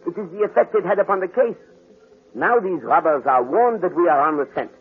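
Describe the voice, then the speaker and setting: Formal methodical detective, French accent, lightly high pitch, vintage radio drama, narrow tinny sound. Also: male voice, animated, brisk, breathy edge, heavily acted. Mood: dry, patient. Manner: polite, precise, formal. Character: courteous, methodical male foreign detective. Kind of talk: 1940s radio acting. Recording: tinny old radio recording with music.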